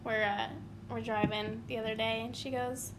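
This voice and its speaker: Lively higher-voiced twenty-something woman. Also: moderate pace, background noise. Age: 20s. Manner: animated storytelling, acts out quotes. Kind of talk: casual chat.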